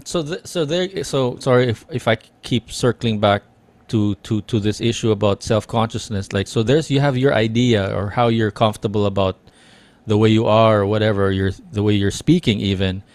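A man's voice: fairly low pitch, moderate pace, a little mellow, no echo. A man from the Philippines whose first language is Tagalog, co-hosting a casual podcast over a video call, thinking aloud with many restarts. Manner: reflective, circling back with restarts. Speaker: podcast co-host. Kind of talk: casual chat. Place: small room with dark curtain, indoors. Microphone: headset and studio mic on video call.

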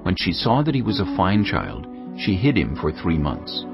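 A man narrating scripture in a measured, solemn way. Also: low-pitched, wildly swooping pitch, gravelly. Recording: dull studio track under music.

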